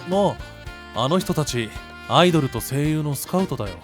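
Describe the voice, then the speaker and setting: A man playing a kind, perceptive high school boy who gently teases and reassures, in an anime-style voice drama. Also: lively intonation, moderate pace, music in the background, natural voice. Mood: warm, modest.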